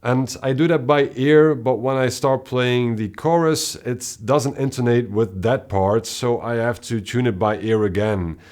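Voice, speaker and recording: Brief: deep-voiced male guitarist, mid-pitched, calm talk to camera, steady explainer. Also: unhurried, few pauses. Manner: steady, explanatory, with occasional filler sounds. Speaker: guitarist and channel host. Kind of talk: casual gear talk to camera.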